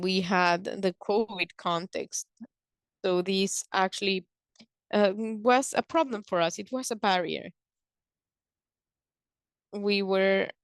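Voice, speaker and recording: A woman presenting and explaining in a measured, halting way, pausing between short phrases. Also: mid-range voice, vocal fry, clean tone, dry recording. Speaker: presenter on a conference call.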